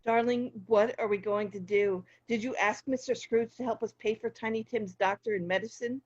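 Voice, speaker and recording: Muffled, lo-fi audio carries a woman playing a worried mother in a story reading. The voice is a bit high, with an anxious temper. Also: steady tone, normal speed, a little mellow, lightly acted. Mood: worried, pleading. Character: worried mother of a sick boy. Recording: dull lo-fi video-call audio.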